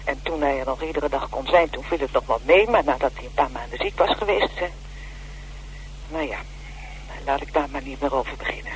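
An actress playing a wary, aggrieved middle-aged widow in a radio play, speaking hesitantly and defensively. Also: female voice, very deep voice, a little fast. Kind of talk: naturalistic radio play acting. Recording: noisy old radio broadcast with hum.

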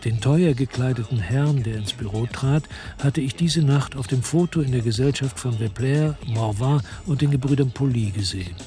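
An actor playing a weary, dogged French detective who narrates his noir radio crime story in a measured, laconic way. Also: medium pitch, warm tone, soft background music, close to an everyday voice. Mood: weary, reflective. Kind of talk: first-person narration in a noir radio drama.

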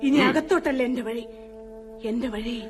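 Defiant, high-voiced young wife, played by a woman. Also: normal speed, close to an everyday voice. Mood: scornful. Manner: dramatic, biting, resolute. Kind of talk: radio drama acting. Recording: radio drama over clear music.

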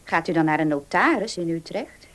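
An actress in a Dutch radio play playing a meek, curious housemaid who speaks deferentially. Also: female voice, on the low side, animated, moderate pace, natural voice.

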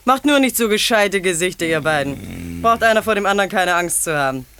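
A woman's voice: fairly low pitch, big pitch swings, a little fast, fairly bright tone. An actress in a 1950s German radio play, playing a bold, teasing young washerwoman with a mocking, familiar way of talking. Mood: cheeky. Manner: mocking, familiar, cajoling banter.

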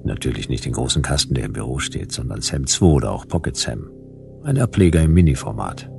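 An actor playing a world-weary private detective, narrating a sci-fi radio play in a laconic, ironic, hard-boiled style. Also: male voice, very deep voice, sing-song, fairly quick, vocal fry, a little mellow, soft background music, full character voice. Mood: wry.

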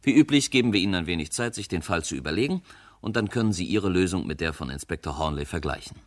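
Male narrator for a radio whodunit; lowish voice, lively intonation, courteous suspense-building address to listeners. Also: fast talker. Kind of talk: scripted radio mystery presentation.

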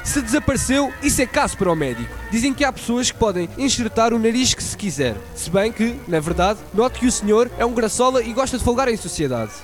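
A man's voice: extremely high pitch, big pitch swings, fast, few pauses. A man playing a fussy, pompous newspaper clerk in a student radio drama, with music behind.

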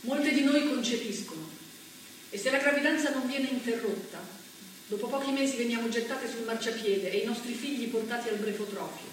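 A woman's voice: on the high side, brisk, slight background noise, close to an everyday voice. An actress as a brothel woman denouncing exploiters. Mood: accusing. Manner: grave accusing letter. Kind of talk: stage reading. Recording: echoey stage sound from far off.

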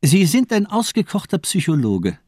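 A man's voice: sits high, wildly swooping pitch, moderate pace, darkish tone, dry recording, studio quality, exaggerated voice. A man playing a coolly mocking, menacing doctor in a German radio drama, speaking with polite, articulate condescension. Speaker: actor in a crime radio play.

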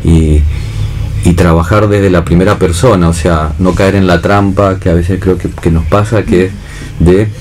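An Argentine man with a very low, dark and relaxed voice shares thoughts in a panel discussion. He feels his way through sentences, often ending with a short questioning tag. There is faint noise behind him. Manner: halting, searching, frequent tag questions. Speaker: theatre director and actor, panel guest. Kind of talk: reflective unscripted panel discussion.

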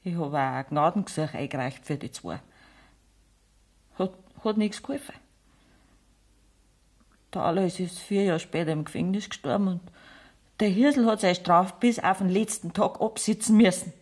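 A woman's voice: fairly low pitch, moderate pace, pauses often, darkish tone, slightly rough. Careworn Bavarian peasant mother, bitter. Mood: bitter, grieving. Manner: plain-spoken, earthy, aggrieved. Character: careworn, bitter rural mother of jailed sons. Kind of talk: German radio play acting in dialect.

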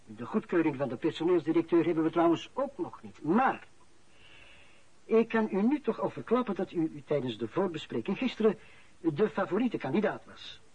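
A sardonic boss from a radio play, courteous and measured with ironic pauses. The husky voice swings widely in pitch, on a dull recording. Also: male voice, sing-song, a little mellow. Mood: sardonic, confiding. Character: smooth-talking sardonic male company boss. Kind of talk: radio drama acting.

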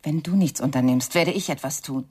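A woman's voice: low-pitched, fairly quick, darkish tone, breathy edge. A woman playing a reproachful, determined figure in a radio crime drama, insistent and accusing.

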